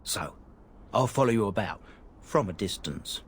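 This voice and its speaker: Animated, chatty, uneasy merchant guide. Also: male voice, on the low side, unhurried, a little hiss or hum. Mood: nervous. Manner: garrulous, informal. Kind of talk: fantasy video game dialogue.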